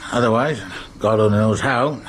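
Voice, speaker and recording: An actor playing a blunt, exasperated American mayor in a radio thriller, speaking in an emphatic, colloquial way. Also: male voice, lowish voice, normal speed, a little hiss or hum, close to an everyday voice. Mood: angry, urgent. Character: blunt, exasperated New York City mayor.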